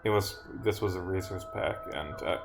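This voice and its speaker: A man's voice: deep voice, moderate pace, gravelly, faint music behind. A man commentating on his own livestream as a game developer, rambling with muttered asides, self-corrections and casual swearing. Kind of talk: livestream commentary, reading game dialogue aloud.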